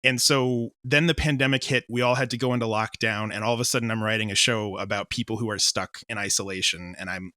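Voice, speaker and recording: Bright, dry-sounding rambling man. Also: fairly low pitch, steady tone, fast talker, few pauses, no room sound. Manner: rambling, self-interrupting, informal. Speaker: audio drama writer and director being interviewed. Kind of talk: casual interview chat.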